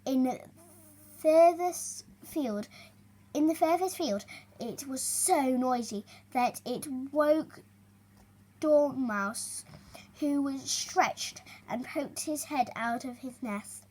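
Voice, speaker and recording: A young girl reading a children's storybook aloud, expressive but halting, with small stumbles and chatty asides. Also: high-pitched, breathy edge. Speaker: young reader hosting a story video.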